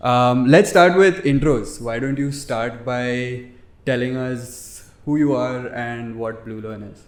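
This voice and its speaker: A man's voice: medium pitch, takes it slowly. A young man hosting a startup podcast, introducing a guest and asking questions in an upbeat, friendly way. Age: young adult. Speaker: podcast host. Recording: roomy echo with faint music.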